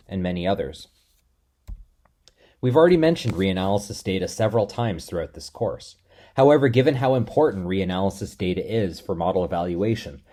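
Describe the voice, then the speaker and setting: A man delivering a scripted lecture in a measured, steady explanatory way. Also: lowish voice.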